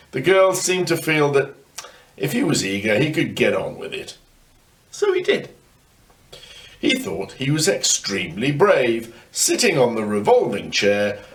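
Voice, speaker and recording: An older man reading a children's ghost story aloud in a wry way, with dramatic pauses. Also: on the low side. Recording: distant microphone.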